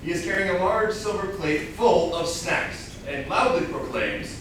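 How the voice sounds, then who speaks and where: A man's voice: high-pitched, sing-song, a little slow, fairly bright tone. Male stage-direction narrator in echoey room. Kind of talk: scripted narration at a staged reading. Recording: very echoey room, distant mic, noise.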